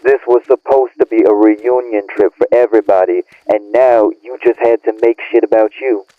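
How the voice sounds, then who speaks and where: A tinny phone-filtered voice, low and close, of a man acting an irritated young guy in an audio drama. He scolds and blames in blunt, profane slang, bitter and fed up with his friend. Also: steady tone, moderate pace, no room sound. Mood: angry, blaming. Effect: phone or radio filter. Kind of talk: audio roleplay acting, naturalistic argument. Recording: narrow tinny phone-like band.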